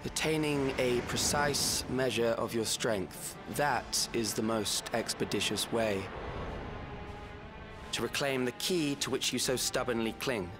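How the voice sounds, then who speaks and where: A man's voice: medium pitch, a little slow, over music, natural voice. A man playing a cold, detached scientist villain in a video game cutscene, speaking with formal precision. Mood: cool, detached. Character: cold, calculating male scientist without flesh, a villain.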